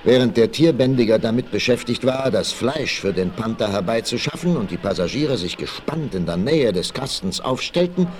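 A man's voice: mid-range voice, animated, normal speed, hardly any pauses, crowd noise. A man narrating a 1960s radio play as a dramatic, theatrical, suspenseful storyteller. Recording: vinyl record transfer with faint noise.

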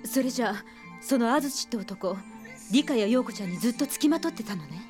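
An actress playing a composed female investigator who reasons aloud calmly in a radio drama. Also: fairly high pitch, faint music behind, lightly acted. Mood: measured. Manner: calm, measured, reasoning aloud.

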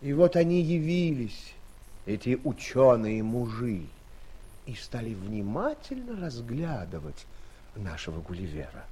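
An actor serving as a wry, expressive storyteller, narrating a scripted tale in an audio play. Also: male voice, mid-range voice, measured pace, slightly rough. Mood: wry, storytelling.

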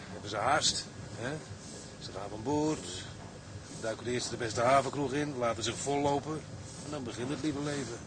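A man's voice: mid-range voice, natural voice. Man acting wry seasoned seaman over ship noise. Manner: matter-of-fact, wry storytelling. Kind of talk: radio drama dialogue.

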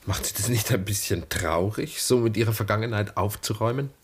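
An actor playing an earnest, wistful lieutenant of twenty-three in a 1960s German radio play, speaking formally and gently probing. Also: male voice, fairly low pitch, a little fast, slight background noise, natural voice. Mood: earnest, gentle. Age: young adult.

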